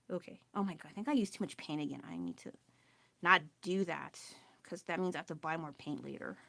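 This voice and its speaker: A woman chatting casually while painting on a live stream at home, self-deprecating and quick to laugh, with a loud voice. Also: fairly low pitch, lively intonation, gravelly, no room sound. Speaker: artist livestreaming her painting. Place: at home with family nearby.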